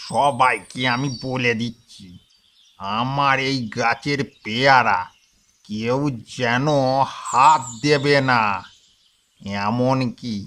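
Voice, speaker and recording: A man's voice: medium pitch, fairly bright tone, a little hiss or hum. A man voicing a grumpy, threatening old village grandpa in a cartoon, scolding as he talks. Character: grumpy old village grandpa guarding guava tree, later ghost. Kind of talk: Bengali moral-story cartoon acting.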